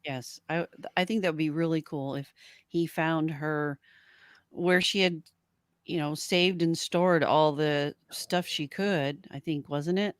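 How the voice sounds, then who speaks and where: A woman's voice: sits low, steady tone, slow pace, smooth, no room sound, clean studio sound. A woman co-hosting a podcast in a casual fan discussion, speaking informally and often agreeing. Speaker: podcast co-host.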